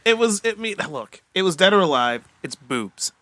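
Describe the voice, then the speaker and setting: A man bantering as a podcast co-host. His voice runs somewhat high and is bright and sharp. He keeps it casual, joking and profane. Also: sing-song, moderate pace, no room sound.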